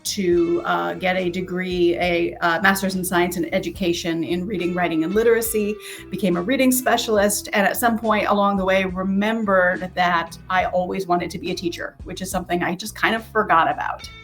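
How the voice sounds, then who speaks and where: A woman's voice: lowish voice, fairly even intonation, moderate pace, faint music behind. An American woman around forty, from Connecticut, talking casually as an interview guest on a video call and telling her own story. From Connecticut, United States. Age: 30s or 40s. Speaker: interview guest, author and teacher.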